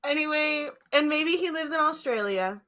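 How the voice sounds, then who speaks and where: A woman's voice: high-pitched, lively intonation, moderate pace, pushed and bright. A woman co-hosting a podcast in casual chat, effusive and giggly in her delivery. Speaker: podcast co-host. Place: remote internet call.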